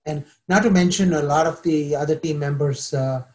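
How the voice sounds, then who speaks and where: A man, a startup founder, pitching his company to investors, halting with many fillers and restarts. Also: darkish tone.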